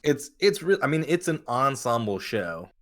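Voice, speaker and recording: A man co-hosting a podcast, chatting casually and giving opinions in a halting, blunt way with some swearing. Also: mid-range voice, sing-song, a bit bright. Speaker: podcast co-host.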